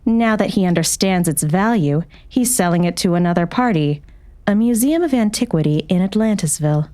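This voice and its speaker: A woman playing a cool, composed doctor in an audiobook drama, speaking smoothly in a formal, measured, controlled way. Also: fairly low pitch, expressive, dark tone, close to an everyday voice. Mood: measured, guarded. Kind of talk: audiobook character acting.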